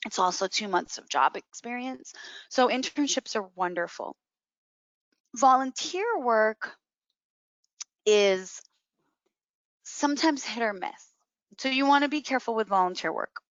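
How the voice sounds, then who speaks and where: Female career coach, emphatic explainer, mid-pitched, on muffled webinar audio. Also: no room sound. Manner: emphatic, repeats key words for stress. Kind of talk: explanatory webinar advice with question and answer.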